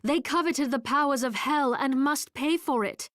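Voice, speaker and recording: A woman playing a composed, gently authoritative ally in a video game, speaking in a measured, formal way. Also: high-pitched, dry recording, clean studio sound. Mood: firm.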